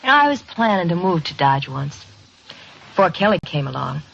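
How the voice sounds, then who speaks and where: Brash, animated frontier wife. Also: female voice, lowish voice, normal speed, gravelly, exaggerated voice. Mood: flirtatious, restless. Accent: American. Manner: brash, folksy, evasive. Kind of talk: old-time radio western acting. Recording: dull old radio recording, faint noise.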